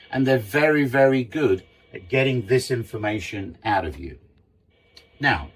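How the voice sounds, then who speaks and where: A man's voice: medium pitch, slightly rough. A man teaching a practical lesson, explaining step by step and stressing key points in a didactic, emphatic way. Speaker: sailing instructor. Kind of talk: explanatory lesson with worked examples.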